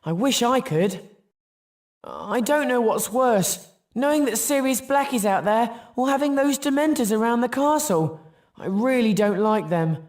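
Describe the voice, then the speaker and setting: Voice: medium pitch, animated, unhurried, a little mellow, close to an everyday voice. An actor playing an anxious, forgetful young schoolboy in a video game, with a worried, plaintive manner.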